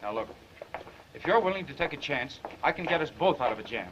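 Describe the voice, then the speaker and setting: A man's voice: on the high side, expressive, brisk, close to an everyday voice. An actor playing a brash, flirtatious, stubbornly protective newspaperman with an American accent, bantering in slangy, persistent 1930s film style. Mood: earnest, coaxing. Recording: muffled, hissy 1930s film soundtrack.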